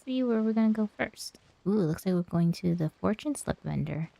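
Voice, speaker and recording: A woman's voice: medium pitch, expressive, moderate pace, vocal fry, mellow, no echo. A woman streamer giving casual, gushing and affectionate commentary over a game. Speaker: streamer reading along with a game.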